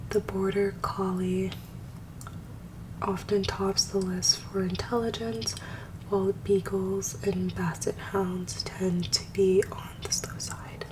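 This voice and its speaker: A woman whispers close and low, her voice carrying a grainy throat scratch and little rise or fall in pitch. She talks fairly quickly and sometimes stumbles on tricky words. The mic sits back from her, with a steady hiss in the background. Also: far from the mic. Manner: quick talker who stumbles on hard words. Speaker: ASMR creator. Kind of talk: soft-spoken ASMR reading and rambling. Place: at home.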